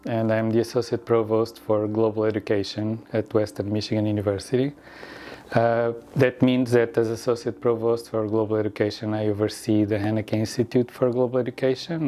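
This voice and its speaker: A middle-aged man speaks as a university administrator, laying things out in a careful, formal way. His voice is warm and mellow. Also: fairly low pitch. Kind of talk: interview explanation.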